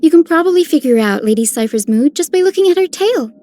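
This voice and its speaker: An actress voicing a polite, earnest, soft-hearted young heroine in a video game. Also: female voice, high-pitched, lively intonation, fast talker. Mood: earnest, confiding. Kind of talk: video game character voice lines.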